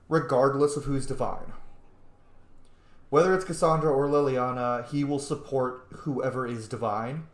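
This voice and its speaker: A man's voice: fairly high pitch, roomy sound. A man giving informal roleplay commentary over a video game, musing aloud about his character's choices. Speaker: gaming host, roleplayer.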